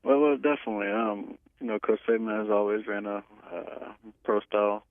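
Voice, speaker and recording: Over a narrow, tinny telephone line, a man in his twenties talks in a deep, low voice. He is an athlete being interviewed, speaking casually with hesitant phrasing and frequent pauses. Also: no echo. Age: 20s. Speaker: pro football player being interviewed. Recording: tinny, band-limited phone line.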